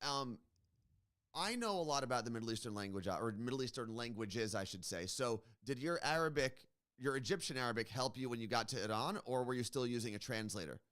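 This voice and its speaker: A man's voice: medium pitch, lively intonation, brisk, a bit bright. A man hosting a morning show, asking a guest long, curious questions in a casual chat. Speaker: radio show host. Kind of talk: interviewing a guest.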